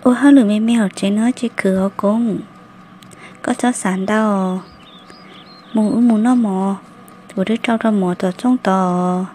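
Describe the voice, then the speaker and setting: An actress voicing a woman in an animated family drama, in an amateur cartoon style. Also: mid-range voice, moderate pace, pauses often, slight background noise, close to an everyday voice. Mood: conversational.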